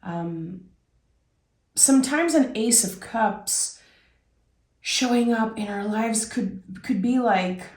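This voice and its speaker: A woman from Québec giving a casual tarot reading, her voice raspy as she gets over a cold, talking in a reflective, meandering way. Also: fairly high pitch, slow pace, long pauses, darkish tone, reverberant. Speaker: tarot reader.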